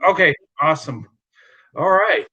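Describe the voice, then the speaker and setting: A man hosts a live stream in easygoing chat, reassuring his guest and laughing often. His voice is bright and fairly high, and his talk is full of "uh" fillers. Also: animated, unhurried, slightly rough, muffled recording.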